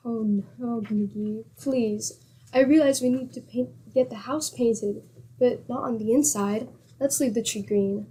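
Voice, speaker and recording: A woman's voice: a little high, measured pace, mellow, husky. A woman acting a patient, sensible wife in old-time radio comedy, with dry, affectionately teasing sarcasm. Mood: pleading.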